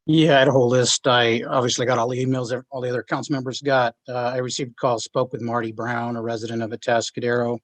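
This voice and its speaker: Flat-voiced man listing his contacts. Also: mid-range voice, fast talker, few pauses. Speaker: city councilmember.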